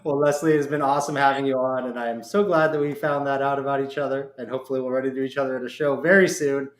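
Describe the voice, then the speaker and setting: Podcast host: American man, mid-pitched, chatty and enthusiastic, informal interviewing style, noticeable room echo. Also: fairly even intonation, rapid-fire. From Oregon, United States. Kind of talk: casual interview chat. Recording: echoey, roomy sound.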